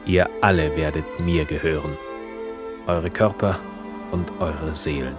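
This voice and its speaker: A man's voice: rumbling low, fairly even intonation, measured pace, over music. An actor playing a menacing, possessive megalomaniac tycoon villain in a radio drama, gloating as he speaks. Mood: gloating menace. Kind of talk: German radio drama acting.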